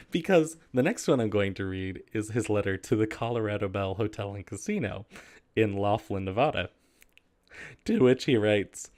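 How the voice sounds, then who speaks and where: Animated man reading funny letters casually. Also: on the low side. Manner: giggly, often breaking into laughter while reading. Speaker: video host reading humorous letters aloud. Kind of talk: casual chat and amused book reading.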